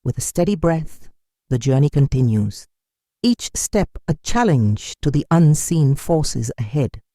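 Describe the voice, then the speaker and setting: A narrator delivers a scripted folktale with a solemn, dramatic air. The voice is dark and mellow, at a middling pitch, and very dry and close, with no room sound. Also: lively intonation, a little slow, no room sound.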